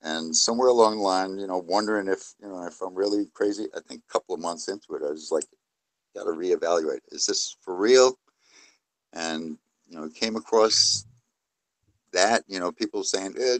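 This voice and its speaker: A man's voice: fairly low pitch, no echo. A man from New City, rambling through a casual live-stream discussion with many fillers. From New York City, USA. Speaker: guest.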